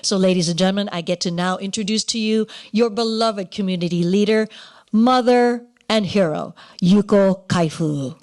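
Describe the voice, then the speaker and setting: A woman hosting a live awards ceremony, speaking effusively and emphatically with halting, dramatic pauses. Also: medium pitch, a little mellow. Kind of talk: live event hosting, introducing presenters. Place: live in-person ceremony before an audience.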